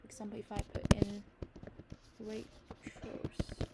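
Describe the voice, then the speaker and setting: A woman's voice: medium pitch, monotone, slow pace, heavy background noise. A young Chinese woman tutoring English in a learned British accent, reading an article aloud and then explaining it with fillers and restarts.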